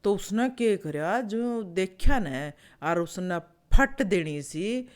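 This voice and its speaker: A woman's voice: medium pitch, lively intonation, slow pace. A woman with a Haryanvi accent telling an animal fable in a conversational, folksy way, repeating phrases for effect. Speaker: storyteller, show host.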